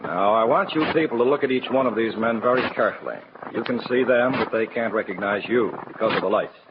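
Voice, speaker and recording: Male actor as American police captain, authoritative, businesslike, medium pitch, noisy 1940s radio crime drama recording. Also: quick pace, lo-fi sound, natural voice. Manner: commanding, businesslike, courteous to witnesses. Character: brisk, authoritative big-city police captain.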